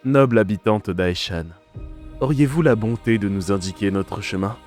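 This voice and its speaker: A man's voice: lowish voice, normal speed, a little mellow, faint music behind, lightly acted. A man acting in an audio drama as a courteous, solemn armored soldier of a goddess, speaking formally. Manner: formal, ceremonious.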